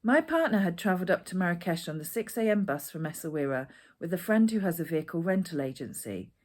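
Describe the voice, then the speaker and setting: A woman's voice: fairly low pitch, sing-song, fast. A woman reading a personal narrative aloud as its author. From Edinburgh, Scotland. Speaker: author reading their own essay.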